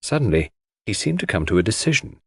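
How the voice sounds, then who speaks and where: A man's voice: fairly low pitch, sing-song, fast, breathy edge, no echo, studio quality. A man reading a classic mystery as scripted audiobook narration.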